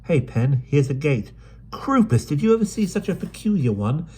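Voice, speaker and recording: A man's voice: a little high, sing-song, moderate pace, darkish tone, husky, pitched above the performer's own voice, full character voice. A man voicing a plucky, chatty London street girl with broad cockney-style dialect in an audiobook. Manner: bold, chatty, upbeat. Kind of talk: audiobook character voice.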